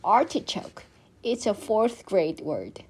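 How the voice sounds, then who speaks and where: Woman as a smug, boastful schoolgirl, lively pitch. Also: slow, breathy edge, exaggerated voice. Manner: smug, showing off. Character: boastful, smug grade-school girl, top speller.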